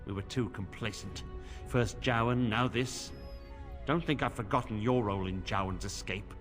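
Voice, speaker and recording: An actor playing a stern, weary middle-aged templar commander in a fantasy game, speaking gravely and formally. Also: male voice, on the low side, normal speed, fairly bright tone, close to an everyday voice. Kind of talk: fantasy video game dialogue. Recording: reverberant stone hall, music underneath.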